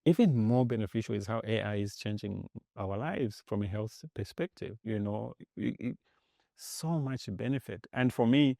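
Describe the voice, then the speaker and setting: A man from Zambia, a tech executive, talking as a podcast guest in an animated way, often restarting and repeating words. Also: fairly low pitch, normal speed, gravelly, no room sound, studio quality. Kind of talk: casual interview talk.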